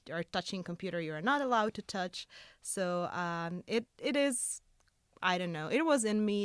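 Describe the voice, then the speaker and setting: Young woman, Croatian accent, smooth resonant tone, eager researcher guest, dry recording. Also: fairly low pitch, dry recording. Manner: earnest, eager, slightly broken English phrasing. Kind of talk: casual interview talk.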